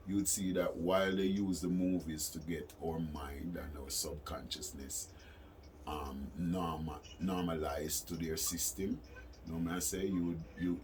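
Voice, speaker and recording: Deep-voiced Caribbean man preaching, noisy recording. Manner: preaching, insistent, repeats phrases for emphasis. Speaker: interviewee. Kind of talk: impromptu phone interview, explaining his views. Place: studio, during a phone call. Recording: noisy ambient room recording.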